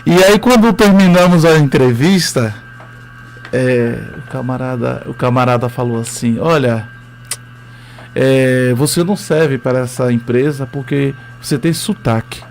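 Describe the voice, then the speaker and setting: A man's voice: mid-range voice, a little slow, creaky, a little mellow, slight background noise. A man with a Northeastern Brazilian accent, a history teacher telling his story as a radio interview guest, hesitant with many fillers.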